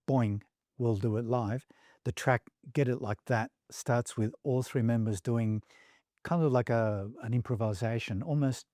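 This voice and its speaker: A man's voice: on the low side, mellow, dry recording, clean studio sound. A man presenting a product review to viewers, moving between scripted explanation and conversational asides. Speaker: audio equipment reviewer and presenter.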